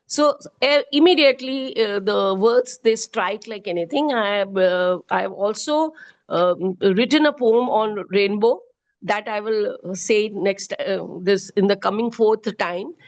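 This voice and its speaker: A middle-aged woman with an Indian accent, a poet and educator answering interview questions earnestly, often pausing with fillers. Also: on the high side. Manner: earnest, hesitant with frequent fillers.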